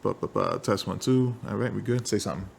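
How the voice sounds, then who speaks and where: A man's voice: lowish voice, fast talker, gravelly, slight background noise. A man co-hosting a podcast, laid-back and teasing in casual chat. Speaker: podcast co-host. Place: studio.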